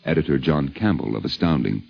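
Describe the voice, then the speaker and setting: A man with a low, widely swinging voice delivers formal, theatrical documentary narration. The sound is a dull 1950s radio recording. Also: expressive, a little fast, creaky, slightly rough.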